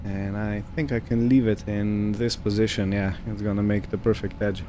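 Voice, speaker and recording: A man giving casual roleplay game commentary, thinking aloud in short, unhurried phrases over a background hum. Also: on the low side, flat delivery, warm tone. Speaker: gaming video host.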